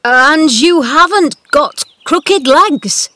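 A woman voicing an old male gardener in a storybook, gruff but tender-hearted, with emphatic, emotional delivery. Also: medium pitch, expressive, slow, fairly bright tone. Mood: stunned, shaky.

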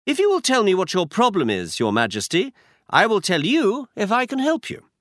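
Male reader playing a sure-footed genius detective, crisp delivery, pitched up and lively. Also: normal speed, no echo, studio quality, full character voice. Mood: confident. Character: brilliant confident male detective. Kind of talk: audiobook character reading.

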